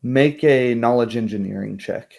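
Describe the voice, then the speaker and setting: A man narrating a tabletop roleplay game as game master, descriptive and somewhat halting. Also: medium pitch, vocal fry, darkish tone.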